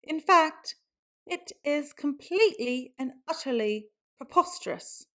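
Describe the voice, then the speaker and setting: A woman's voice: sits high, expressive, unhurried, fairly bright tone. A woman reading a children's book aloud in a theatrical storytelling style, with exaggerated exclamations.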